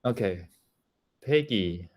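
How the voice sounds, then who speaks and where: A man's voice: deep voice, expressive, slow pace. A man hosting a meditation center meeting, calling on people in turn to introduce themselves. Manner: friendly, laughs often, calls on each speaker. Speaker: meeting host. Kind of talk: moderating introductions.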